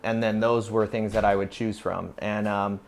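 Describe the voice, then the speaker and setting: A man's voice: on the low side, flat delivery, moderate pace, vocal fry. An American man in his thirties speaking candidly and matter-of-factly in a casual documentary interview. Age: 30s. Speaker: barber. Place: inside a barbershop.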